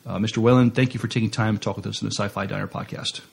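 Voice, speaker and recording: A man's voice: lowish voice, steady tone, racing, vocal fry, husky. A man co-hosting a podcast interview, speaking in a presenter's introducing style. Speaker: podcast co-host.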